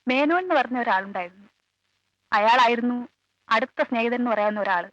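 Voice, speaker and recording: An actress playing a guarded, loyal aunt who lives with the family, answering carefully and matter-of-factly in a film drama. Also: female voice, a little high, brisk, a bit bright, dry recording, lightly acted. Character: guarded, loyal female relative living in the household. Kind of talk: Malayalam film dialogue. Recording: dull old film soundtrack, faint music.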